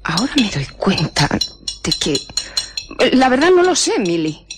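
Radio drama role: money-minded innkeeper, eager to please, easily flustered, very expressive, slight background noise. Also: female voice, mid-range voice, measured pace. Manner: flustered, obliging, hesitant.

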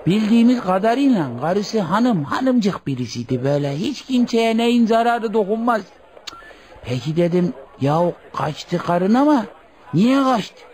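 A chatty village headman with a very high-set male voice. He rambles along in folksy radio-play style, pausing and interjecting as he tells his story. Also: darkish tone. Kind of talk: Turkish radio theatre acting.

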